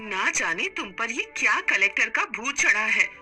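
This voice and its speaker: A woman's voice: fairly high pitch, animated, moderate pace, bright, forceful tone, soft background music. A woman voicing a nagging, worried farmer's wife and mother who scolds and exclaims in a story.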